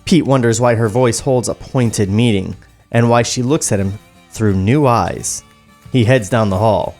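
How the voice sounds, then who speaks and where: A man's voice: mid-range voice, measured pace, a little mellow, faint music behind. A man hosting a podcast, reading screenplay stage directions aloud into a studio microphone. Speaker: podcast host and script narrator.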